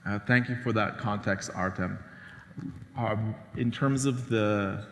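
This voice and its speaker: A man hosting an onstage interview, asking measured questions with a few fillers. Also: on the low side, fairly even intonation. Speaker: interview host. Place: large hall. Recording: echoey hall with long reverb.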